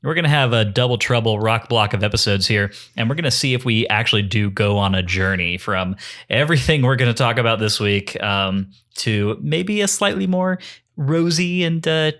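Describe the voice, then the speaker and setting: A man's voice: medium pitch, fairly quick, pushed and bright. A man hosting a podcast in casual, bantering chat, with wry mock-formal flourishes. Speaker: podcast host.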